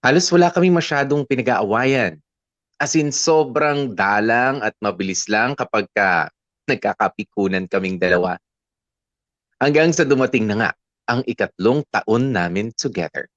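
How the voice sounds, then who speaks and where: A man's voice: medium pitch, moderate pace, fairly bright tone, no room sound, lo-fi sound, natural voice. A man acting a young boyfriend who fondly narrates his own love story, reflective and conversational, with a Filipino accent. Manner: reflective, conversational, mixes English and Tagalog. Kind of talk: first-person scripted story narration.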